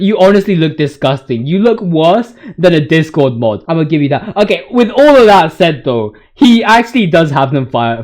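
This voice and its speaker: A man with a high, very lively voice talks through his opinions on a video game. His delivery is casual and full of slang, with exaggerated, joking outrage. He swears freely as he goes. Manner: ranting, hyperbolic, mock-outraged joking. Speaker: video game commentator ranking boss voice lines.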